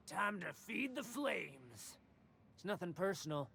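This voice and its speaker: A man's voice: sits high, sing-song, vocal fry, sharp tone, a little hiss or hum, full character voice. A man playing a grandiose, taunting outlaw gang boss who styles himself a king, theatrical video game villain dialogue. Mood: gloating menace. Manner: grandiose, taunting, drawn-out delivery.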